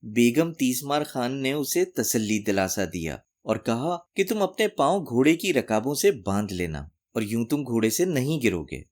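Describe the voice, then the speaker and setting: Animated male storyteller with lively pitch. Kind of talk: scripted narration of a folk tale for children.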